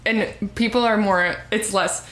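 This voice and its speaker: A young woman podcast co-host with a low voice and vocal fry, chatting casually and using lots of fillers. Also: fairly even intonation, measured pace, fairly bright tone, echoey room. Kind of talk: casual chat.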